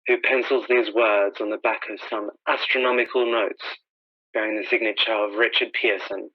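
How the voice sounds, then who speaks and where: A male actor voices a haunted, solitary man of learning in a radio drama. He narrates in a brooding, literary way at a medium pitch. The sound is a little dull and lo-fi. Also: close to an everyday voice. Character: haunted, lonely astronomy professor, survivor of an invasion. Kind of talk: radio drama monologue, first-person journal narration.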